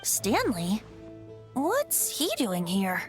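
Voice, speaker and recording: A woman's voice: mid-range voice, wildly swooping pitch, very slow, music in the background, full character voice. An actress playing a youthful male bard in a video game, whimsical and theatrically poetic, with flowery, playful delivery. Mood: hesitant. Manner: flowery storytelling, rhetorical questions, playful.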